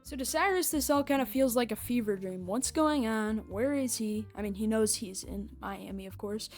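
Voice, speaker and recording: A woman's voice: on the high side, normal speed, a little mellow. A woman hosting a casual fan review, giving recap and reaction commentary. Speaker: reviewer and superfan host. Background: music.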